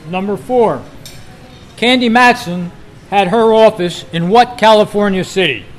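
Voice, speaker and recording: Male quizmaster, higher register, deliberate question-reading to a gathered club, noisy live meeting recording. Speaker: quiz host at a club meeting. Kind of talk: reading trivia questions aloud to a live group.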